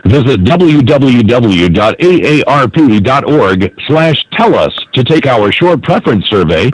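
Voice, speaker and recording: A man's voice: medium pitch, sing-song, measured pace, faint music behind. A man reading scripted menu prompts and hold messages as an automated announcer over a telephone line. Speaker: automated phone system announcer.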